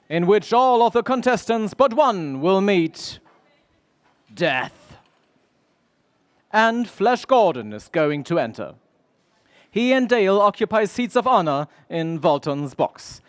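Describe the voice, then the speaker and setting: Slow, high-voiced male radio narrator. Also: clean tone, dry recording. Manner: theatrical, suspenseful. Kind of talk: dramatic scripted radio serial narration.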